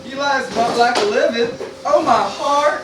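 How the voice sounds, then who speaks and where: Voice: sits high, a little slow. An actor playing a mournful, earnest little creature messenger, declaiming melodramatically in an amateur Shakespeare radio parody. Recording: very echoey, distant mic, background noise.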